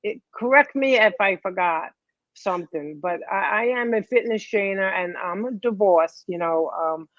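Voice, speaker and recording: A middle-aged woman from Staten Island with a New York accent, giving unhurried interview answers over a video call that drift into fillers and restarts. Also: medium pitch, no room sound. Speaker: guest. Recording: dry, dull, lo-fi sound.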